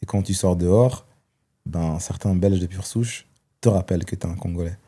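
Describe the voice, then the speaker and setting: Guest, man, 30s: low, warm, dark voice; hesitant, thinking aloud. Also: moderate pace, husky. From Belgium. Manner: reflective, hesitant with many fillers. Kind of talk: personal testimony in conversation.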